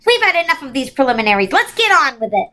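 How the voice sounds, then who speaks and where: A woman's voice: high-pitched, very animated, fast, pushed and bright, exaggerated voice. A woman voicing an earnest, stubborn small boy in a storybook, blunt and a little troubled. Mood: impatient.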